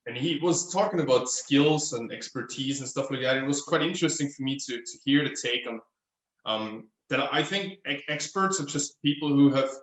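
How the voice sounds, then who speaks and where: A man's voice: mid-range voice, fairly bright tone, echoey room, low-quality recording. A man in his thirties from Austria with an Austrian German accent, German his first language, chatting as an interview guest and searching thoughtfully for English words. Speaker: guest craftsman. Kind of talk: casual interview chat. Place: his apartment at home.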